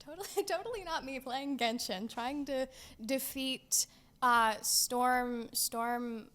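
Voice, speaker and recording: A woman co-hosting a casual gaming podcast, talking in a lively, playfully dramatic way and laughing often. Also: high-pitched, takes it slowly. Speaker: podcast co-host.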